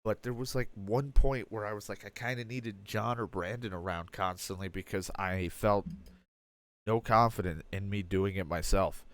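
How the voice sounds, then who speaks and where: A man's voice: fairly low pitch, fairly even intonation, moderate pace, fairly bright tone. Congested young man, rambling and self-deprecating. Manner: rambling, self-deprecating, many fillers and restarts. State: stuffed-up nose, congested. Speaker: streamer. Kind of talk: candid personal update, casual talk.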